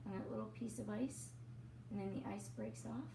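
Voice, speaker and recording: A woman's voice: medium pitch, normal speed, gravelly, reverberant, recorded from a distance, noisy background. A woman reading a children's picture book aloud expressively, with chatty asides. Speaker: storybook reader, teacher. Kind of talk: read-aloud children's story narration.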